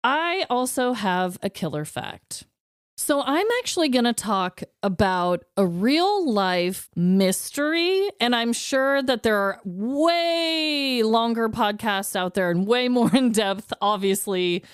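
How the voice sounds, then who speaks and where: A woman's voice: fairly high pitch, lively intonation, slow, clear, resonant tone, no echo, studio quality. A woman co-hosting a casual podcast chat, reacting with frequent laughter and casual swearing. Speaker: podcast co-host.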